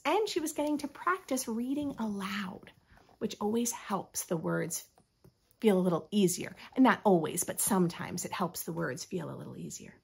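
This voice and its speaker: An American woman in her thirties reading a picture book aloud with expressive, teacherly asides to young children. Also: mid-range voice, gravelly, husky. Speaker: children's story host. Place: small furnished room at home.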